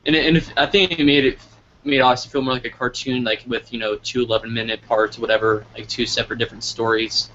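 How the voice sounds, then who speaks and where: A man's voice: medium pitch, level tone, quick pace, bright, forceful tone, muffled recording. A man hosting a casual live interview over an online call, informal and thinking aloud as he goes. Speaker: interviewer and host. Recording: noisy, band-limited online call audio.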